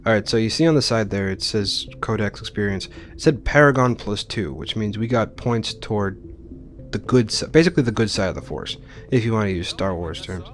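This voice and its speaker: Low-voiced man explaining a game. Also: brisk, a little hiss or hum. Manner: informal, explanatory. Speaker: Let's Play host. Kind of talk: casual gameplay commentary.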